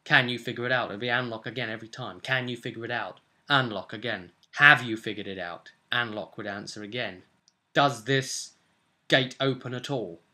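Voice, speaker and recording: A young British man chatting casually and emphatically to camera as a game master, rambling in an animated way. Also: medium pitch, normal speed. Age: young adult. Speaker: tabletop role-playing game master and vlogger. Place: small furnished room at home, indoors. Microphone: camera or webcam at desk distance.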